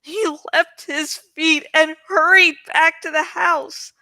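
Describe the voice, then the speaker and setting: A woman's voice: very high-pitched, fairly even intonation, slow, sharp tone, no echo. A woman reading a storybook aloud in a dramatic style, an amateur narrator who pokes fun at her own slips. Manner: self-deprecating, stumbles and jokes about slips. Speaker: amateur audiobook reader. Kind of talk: dramatic storybook narration with self-mocking asides.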